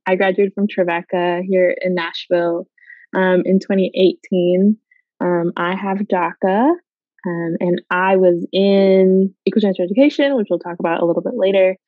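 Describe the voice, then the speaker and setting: A woman's voice: medium pitch, no room sound, phone-quality sound. A young woman from Guatemala, chatting in an interview with many fillers and easy laughs. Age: young adult. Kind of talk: casual interview.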